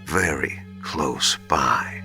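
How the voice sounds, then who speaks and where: A man's voice: very deep voice, drawn-out words, music in the background, heavily acted. A man playing a smug, sinister terrorist leader in a video game, mocking and theatrical, drawing out his words. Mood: menacing. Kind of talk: dramatic video game voice acting.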